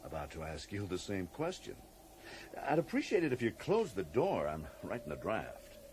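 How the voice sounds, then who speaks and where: An actor playing a cagey, drily amused federal agent in a radio drama, speaking with polite, evasive hesitations. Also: male voice, low-pitched, wildly swooping pitch, normal speed, darkish tone, a little hiss or hum. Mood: cagey, businesslike. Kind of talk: 1980s radio drama acting.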